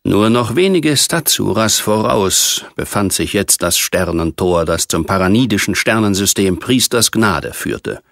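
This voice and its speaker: A man with a lowish, gravelly voice reads science fiction prose aloud. His delivery is measured and scripted, like an audiobook, recorded very dry with no sense of a room. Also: animated, a bit bright, no echo. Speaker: audiobook narrator.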